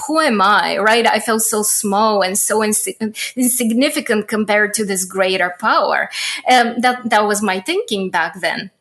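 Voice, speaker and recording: A woman speaking as a guest on a spiritual podcast, earnest and halting, with many fillers and restarts. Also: medium pitch, fairly bright tone. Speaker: guest, past-life regression practitioner.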